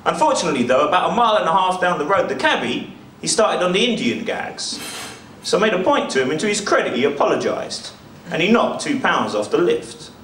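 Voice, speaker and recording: A man's voice: high-pitched, big pitch swings, brisk, a bit bright. A young man telling stand-up stories to a live room. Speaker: stand-up comedian. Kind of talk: stand-up storytelling. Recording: echoey venue, distant mic, faint noise.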